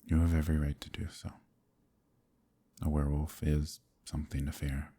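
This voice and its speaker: A man playing a gentle, troubled werewolf in a romantic fantasy ASMR roleplay, soft-spoken and hesitant, with formal old-fashioned phrasing and a deep, husky voice. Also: little pitch movement, normal speed, exaggerated voice. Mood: hesitant, vulnerable.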